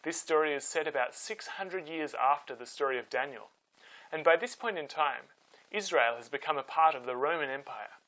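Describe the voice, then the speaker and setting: A man hosting and telling scripted Bible stories for children in an expressive, dramatic way. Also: fairly high pitch, normal speed, low-quality recording. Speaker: storyteller and host.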